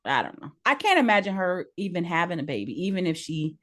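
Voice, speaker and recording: A woman's voice: fairly low pitch, very animated, fast talker, fairly bright tone. A woman in her forties co-hosting a podcast, talking in a frank, opinionated way and laughing easily. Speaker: podcast co-host. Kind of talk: casual chat.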